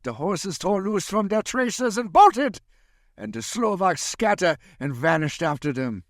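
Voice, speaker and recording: An actor playing an older, commanding vampire-hunting professor in old-style radio drama, emphatic and urgent. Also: male voice, high-pitched, very animated, unhurried, dry recording, studio quality. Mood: urgent, tense.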